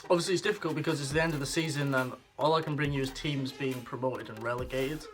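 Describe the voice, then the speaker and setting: A young man from the Scottish Highlands, his Scottish accent a little softened by years in England, chatting wryly and bluntly to camera in a casual vlog. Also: fairly even intonation, quick pace, faint music behind. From Scotland. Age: young adult. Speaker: vlogger.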